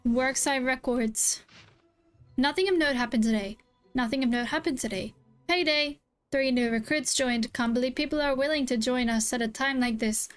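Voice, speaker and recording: A woman's voice: sits high, normal speed, clear, resonant tone, soft background music. A woman streamer reacting playfully to a video game and reading on-screen text aloud.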